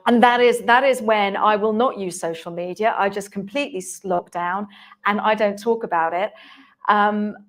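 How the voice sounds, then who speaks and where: A woman's voice: medium pitch, normal speed. A woman speaking on a conference panel, chatty and candid, with frequent restarts. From England. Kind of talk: panel discussion. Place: conference hall.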